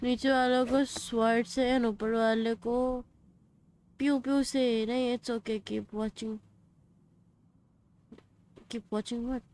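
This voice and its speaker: A woman's voice: on the high side, little pitch movement, very fast, long pauses, a little mellow, smooth. Chatty woman streamer. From India. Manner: chatty, mixes Hindi and English. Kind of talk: casual live game stream chat.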